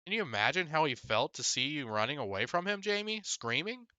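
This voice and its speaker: A man's voice: fairly high pitch, sing-song, fairly quick, sharp tone, no echo, dull audio, pitched above the performer's own voice. A man voicing a stern but caring, earnest mother of two boys in a storybook. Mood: stern, disappointed. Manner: scolding, earnest.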